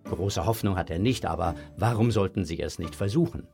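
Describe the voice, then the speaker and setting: A man narrating a scripted German children's audio story with a slightly husky voice. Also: low-pitched, a little fast, over music.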